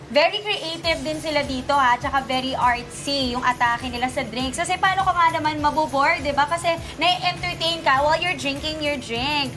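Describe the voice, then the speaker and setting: A woman's voice: sits high, normal speed, few pauses. A bubbly, chatty woman with a Filipino accent hosting a live morning show segment on location. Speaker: morning show field host. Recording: clear music behind the voice.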